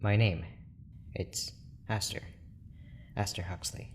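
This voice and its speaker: A man acting a reserved, clinical research doctor in an audio drama, formal, measured and dryly blunt. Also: lowish voice, a little hiss or hum. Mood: guarded. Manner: formal, measured, clipped, deadpan.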